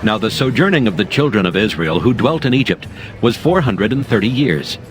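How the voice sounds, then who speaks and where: A man narrating a dramatized scripture reading in a steady, formal manner over music. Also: fairly low pitch, fairly quick, rough recording. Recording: music under the voice.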